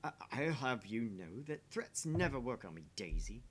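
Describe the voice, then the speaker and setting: A man's voice: medium pitch, sing-song, moderate pace, a little hiss or hum, close to an everyday voice. A man voicing a touchy, blustering visual novel character who grumbles defensively with melodramatic indignation. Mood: indignant bluster. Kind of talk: acted visual novel dialogue.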